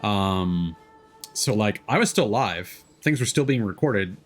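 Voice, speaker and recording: A man's voice: on the low side, animated, slow pace, soft background music. A man chatting casually as he hosts a game livestream, rambling and thinking aloud. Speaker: streamer and host. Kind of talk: casual livestream gameplay chat.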